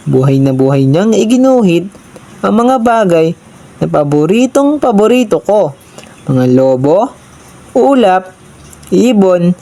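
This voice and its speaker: A woman narrating a children's story aloud in a plain, even way. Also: lowish voice.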